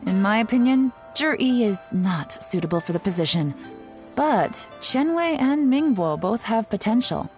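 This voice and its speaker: A woman's voice: on the high side, lively intonation, moderate pace, darkish tone, close to an everyday voice. An actress playing a shrewd, commanding female investigator in a video game, composed, assured and knowing. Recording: background music under the voice.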